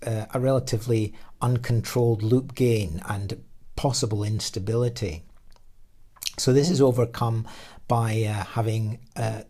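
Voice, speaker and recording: Male engineer presenter, lowish pitch, measured technical explainer delivery with hesitant mid-phrase pauses. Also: darkish tone. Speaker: radio design engineer presenting his product. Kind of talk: technical slide presentation narration.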